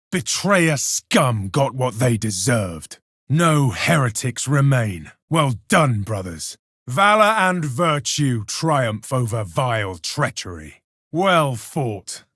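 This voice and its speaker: A man's voice: mid-range voice, fairly bright tone, dry recording, studio quality, full character voice. A man playing a proud, zealous space marine warrior in a video game, declaiming solemnly and commandingly with contempt for his foes. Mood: proud victory. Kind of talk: video game combat voice lines, theatrical declamation.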